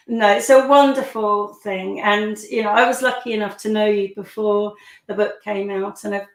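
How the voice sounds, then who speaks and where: A woman hosting an online webinar, with a hoarse, croaky voice and a chatty manner full of fillers. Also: medium pitch, steady tone, measured pace, echoey room, a little hiss or hum, rough recording. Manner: chatty, warm welcomes with frequent fillers. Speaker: webinar host.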